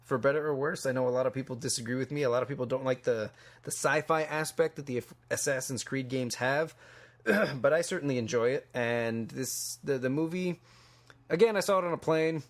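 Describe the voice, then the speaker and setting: A man co-hosting a podcast, giving opinions in a casual, measured and somewhat hesitant manner. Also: medium pitch. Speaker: podcast co-host. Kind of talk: casual chat.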